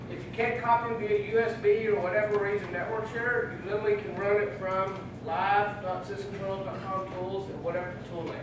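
A middle-aged man giving a casual technical presentation to an audience, often checking in with "right?". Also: high voice. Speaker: presenter at a user group meeting. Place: large meeting room with audience. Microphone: room recording from a far camera. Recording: very echoey room, distant, noisy.

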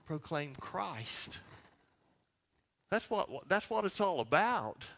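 A man's voice: high-pitched, sing-song, slow pace, vocal fry, a bit bright. A man in his sixties preaching a sermon as pastor, speaking emphatically and posing questions that he answers himself. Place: church sanctuary.

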